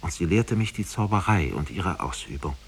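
A man's voice: low voice, lively intonation, normal speed, gravelly, a little hiss or hum. A man voicing a proud, defiant young sorceress princess in a solemn, declaiming manner in a radio fairy tale. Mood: earnest.

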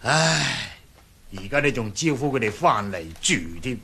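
Husky, grumbling old housekeeper. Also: male voice, medium pitch, expressive, a little slow, pushed and bright, exaggerated voice. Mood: grumbling, fearful. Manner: whining, sighing, fussy. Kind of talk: Cantonese radio drama acting.

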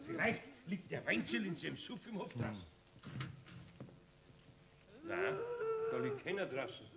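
Nosy Bavarian pal: high, creaky, agitated, wildly animated delivery for a radio play. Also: male voice, measured pace, a loud crowd, exaggerated voice. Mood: nervous. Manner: agitated, dramatic. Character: nervous, nosy male card-playing friend. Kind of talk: children's radio play acting.

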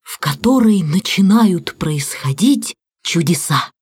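A woman narrates a children's audiobook with storytelling expression. Her voice sits over clearly audible background music. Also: fairly low pitch, expressive, takes it slowly, darkish tone.

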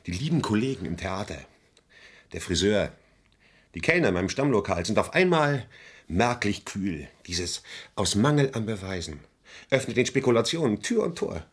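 An actor playing a proud, aggrieved stage performer suspected of murder in a radio crime play, bitter and insistent. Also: male voice, lowish voice, sing-song, normal speed, slightly rough, heavily acted. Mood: bitter, wounded.

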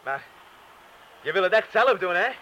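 An actor in a radio drama playing an apologetic, probing workmate who questions hesitantly. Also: male voice, sits high, lively intonation, moderate pace, bright, forceful tone, a little hiss or hum, poor audio. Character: bomb disposal man's probing, apologetic male colleague.

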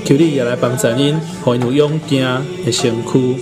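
Taiwanese pastor praying over loud music. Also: male voice, mid-range voice, fairly even intonation, normal speed. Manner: solemn, pleading. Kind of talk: spoken prayer.

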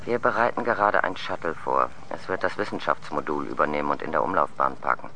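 An actor in a radio drama playing a matter-of-fact, tactful space mission colleague giving a sober briefing. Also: male voice, very deep voice, quick pace, vocal fry, bright, forceful tone, a little hiss or hum, exaggerated voice. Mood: sober, guarded.

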